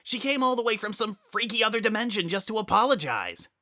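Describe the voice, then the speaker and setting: A brash young pegasus mare, as a man acts her out, very high and forceful, indignant and loyal. The style is cartoon storybook drama. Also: big pitch swings, fast talker, dry recording, studio quality, exaggerated voice. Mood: indignant pleading.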